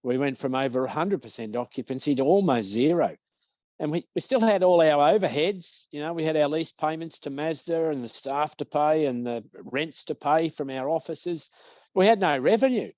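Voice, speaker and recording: An Australian man in his sixties from Victoria, a business-owner guest telling anecdotes in a relaxed, jokey way. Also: on the high side, normal speed, no echo. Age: 60s. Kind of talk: casual interview storytelling.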